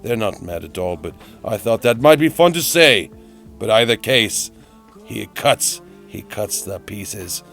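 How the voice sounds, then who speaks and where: A man giving a melodramatic, whimsical storybook-style narration of a DIY build, repeating phrases for effect, in a gravelly voice. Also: fairly low pitch, lively intonation, measured pace, fairly bright tone. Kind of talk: theatrical storybook-like narration of a hobby build video. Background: music.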